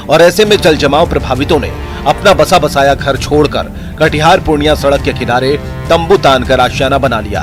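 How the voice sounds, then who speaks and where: A man narrates the news from a script, in a dramatic style. His voice is fairly high for a man, with music clearly audible behind it.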